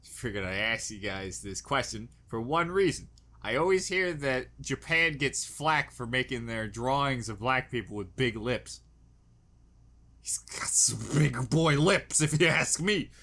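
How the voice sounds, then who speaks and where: A man hosts a casual reaction video with a very animated voice that swings up and down. He speaks at a middle pitch, jokes crudely and plays up how squeamish he is. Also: a little slow. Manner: crude joking, exaggerated squeamish exclamations.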